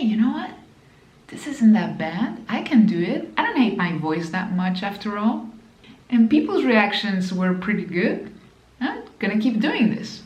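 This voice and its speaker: A woman's voice: medium pitch, roomy sound, low-quality recording. A woman with a Spanish accent, Spanish her first language, giving an emphatic motivational talk to camera as a business mentor, repeating key phrases and addressing the viewer directly.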